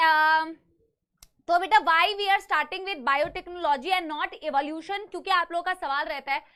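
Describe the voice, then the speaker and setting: A young woman teaches a live online biology class with a slightly sore throat. Her voice sits very high and sounds bright and forceful. She coaxes and reassures her students, calling them "beta" and slipping English phrases into the lesson.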